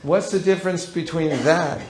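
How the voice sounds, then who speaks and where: A man's voice: a little high, fairly even intonation, normal speed, slight background noise. A man leading a live seminar, teaching with a playful manner and acting out short dialogues. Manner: playful, acts out little dialogues. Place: large hall. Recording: roomy hall sound.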